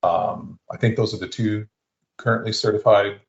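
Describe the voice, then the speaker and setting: A male interview host speaks in a low voice with a casual, tentative way of asking things. The recording is echoey and a little dull. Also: steady tone, moderate pace. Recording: roomy, slightly dull sound.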